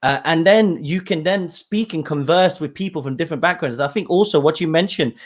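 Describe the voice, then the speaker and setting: A male podcast host with a slightly high voice who talks in a friendly, chatty way. He laughs a lot and often stumbles and restarts mid-sentence as he asks his questions. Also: a little fast. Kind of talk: casual interview chat.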